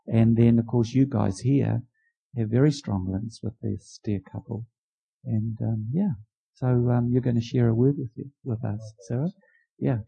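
A man leading a church service, welcoming a guest and praying in an informal, halting way. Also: mid-range voice, fairly even intonation, gravelly, warm tone, husky, rough recording. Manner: informal and halting, many restarts. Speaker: church leader.

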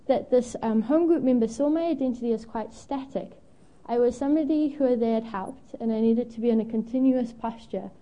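Young woman preacher from Zimbabwe, warm mellow tone, slightly high, candid storyteller with lots of ums. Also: low-quality recording. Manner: candid, self-deprecating, frequent ums. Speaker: guest preacher. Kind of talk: storytelling sermon.

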